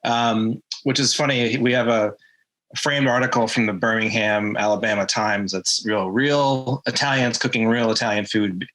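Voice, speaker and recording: Guest man, low pitch, crisp bright tone, rambling casual story. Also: moderate pace, rough recording. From Atlanta, USA. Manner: relaxed, rambling with many ums and restarts. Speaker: guest, craft brewer.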